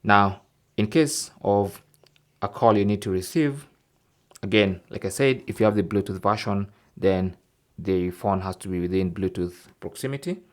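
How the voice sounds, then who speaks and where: Man, low-pitched voice, tech tutorial host, step-by-step explanatory delivery, instructional narration. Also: normal speed. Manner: explanatory, step by step, often checking with "okay?".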